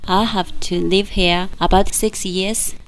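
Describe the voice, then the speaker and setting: A woman from China, introducing herself haltingly in English class. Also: mid-range voice, fairly even intonation, a little hiss or hum, low-quality recording. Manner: halting, searching for words.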